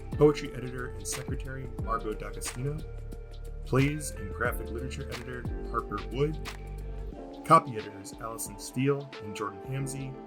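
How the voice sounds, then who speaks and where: Casting: man with a medium-pitched voice, reading credits copy over noticeable music. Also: brisk. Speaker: podcast announcer.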